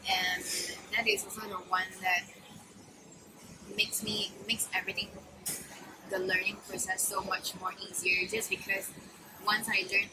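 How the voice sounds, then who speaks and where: A woman's voice: fairly low pitch, noisy background. A young woman from Malaysia with a Malaysian accent answers interview questions casually, thinking aloud in short, hesitant phrases. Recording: music playing behind the talk.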